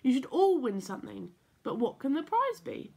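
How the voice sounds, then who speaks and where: A woman voicing a kindly, encouraging old teddy bear in a storybook, gentle and expressive. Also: high voice, normal speed, vocal fry, husky, heavily acted.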